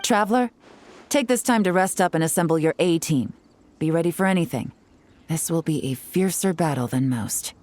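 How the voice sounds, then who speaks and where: Shrewd, composed female tactician for a game, woman actor. Lowish register, measured, cautious, knowing. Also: expressive, a little mellow, a little hiss or hum, lightly acted. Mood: cautious, focused. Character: cool, calculating female strategist, composed and shrewd. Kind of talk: video game voice acting.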